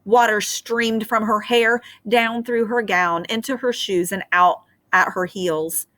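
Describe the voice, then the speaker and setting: A woman's voice: mid-range voice, takes it slowly, no room sound. A middle-aged woman teacher reading a storybook aloud with expression, then explaining its moral to students over a webcam. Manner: expressive and animated. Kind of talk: storybook read-aloud and lesson. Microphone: webcam on a screen share.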